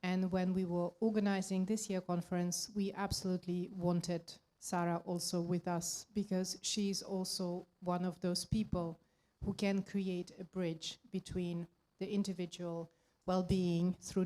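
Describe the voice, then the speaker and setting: Woman, conference organizer, reading an introduction, low dark voice, flat intonation, halting with fillers.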